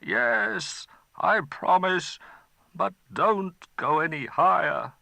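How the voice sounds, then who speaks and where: A man voicing a gravelly wicked dragon. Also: sits high, expressive, slow pace, sharp tone, no room sound, pitched above the performer's own voice, exaggerated voice. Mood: pleading. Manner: mocking, gloating, wailing when scared. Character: wicked boastful dragon, cruel and cunning.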